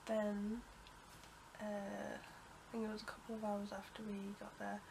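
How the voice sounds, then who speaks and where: Bunged-up young woman, flat voice. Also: medium pitch, slow, noisy background. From United Kingdom. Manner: rambling, many ums and restarts, coughs and clears throat. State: congested with a cold. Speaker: vlogger. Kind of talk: casual storytelling to camera.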